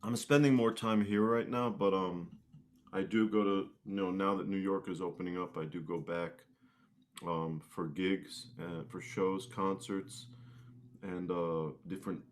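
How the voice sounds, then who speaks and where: A man with a low-pitched voice speaks as a guest in a casual interview. His phrasing is hesitant and halting, in an unscripted conversational style. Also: fairly even intonation. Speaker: guest, musician and martial arts instructor.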